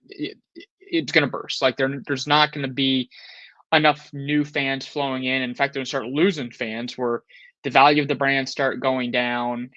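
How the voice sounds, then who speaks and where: A man's voice: mid-range voice, sharp tone, no room sound, dull audio. A man in his thirties co-hosting a sports talk show, rambling with restarts and frustrated. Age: 30s. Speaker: podcast co-host.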